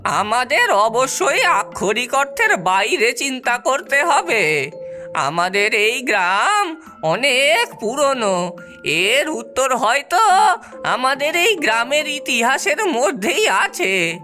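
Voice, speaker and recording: A woman's voice: high voice, very animated, slow pace, music in the background, heavily acted. A woman voicing a wise, hopeful villager in a cartoon, explaining earnestly and with care. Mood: thoughtful.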